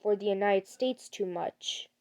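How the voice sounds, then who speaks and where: A woman reads out a scripted emergency alert. Her voice sits at an average pitch, and she speaks as the announcer of an official broadcast message. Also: measured pace, a little mellow.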